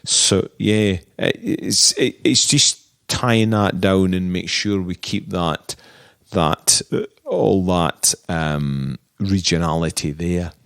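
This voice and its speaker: Brief: husky distillery manager, middle-aged man, very low gravelly voice, halting and thoughtful. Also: a bit bright. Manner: thoughtful, halting, many restarts. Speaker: distillery manager, podcast guest. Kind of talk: relaxed interview talk about whisky making.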